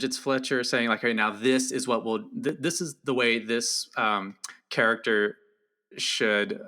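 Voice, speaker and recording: Bright-voiced man chatting animatedly about a film. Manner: animated, laughs often, acts out quotes in high voice. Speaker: podcast guest, film critic. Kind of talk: casual film discussion.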